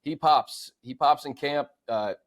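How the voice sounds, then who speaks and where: A man hosting a sports show, offering conversational analysis in a measured way, pausing to pick his words. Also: on the high side.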